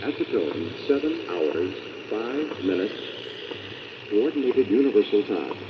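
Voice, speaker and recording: A man's voice: fairly low pitch, big pitch swings, measured pace. A man making a formal scripted time announcement over shortwave radio. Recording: muffled shortwave radio with sizzling static.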